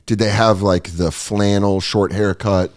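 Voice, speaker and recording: A man's voice: lowish voice, measured pace. A man hosting a casual comedy podcast, joking around and often slipping into mocking voices. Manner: animated, jokey, slips into mocking voices. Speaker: podcast host and stand-up comedian.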